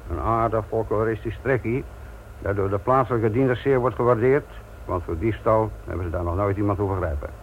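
An actor playing a gruff, sardonic senior police detective lecturing trainees in a radio play. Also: male voice, fairly low pitch, brisk, natural voice. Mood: wry, narrating. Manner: sarcastic, authoritative, lecturing. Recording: muffled, noisy old radio play recording.

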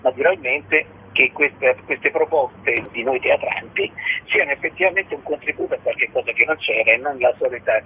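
A man giving interview answers as a festival director, haltingly, searching for his words. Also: medium pitch. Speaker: theatre festival artistic director, radio guest. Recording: narrow phone line with background noise.

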